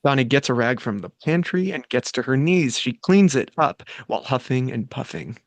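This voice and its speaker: A man's voice: mid-range voice, lively intonation, moderate pace, no echo. A man hosting a table read, moving between casual, playfully crude banter and reading out stage directions. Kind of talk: casual, joking group chat and script narration.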